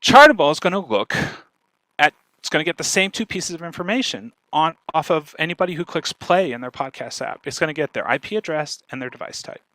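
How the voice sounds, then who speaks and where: A man's voice: a little high, animated, a little fast, sharp tone, no echo, poor audio. A man presenting informally online as a guest speaker, explaining in an emphatic, conversational way. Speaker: guest speaker giving a marketing talk.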